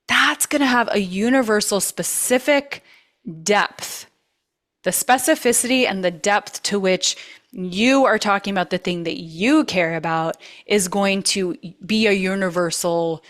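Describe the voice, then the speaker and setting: A woman's voice: mid-range voice, a bit bright. A woman with a trained voice, hosting a masterclass and coaching in a casual, earnest way, leaning hard on repeated words. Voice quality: vocally trained. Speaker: masterclass host.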